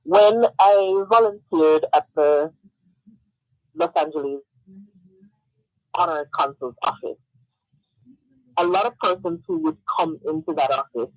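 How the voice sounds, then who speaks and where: A man from Jamaica, a guest in a casual radio interview, who talks animatedly, laughs often and repeats words when excited. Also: on the high side, unhurried, pauses often, no echo, rough recording.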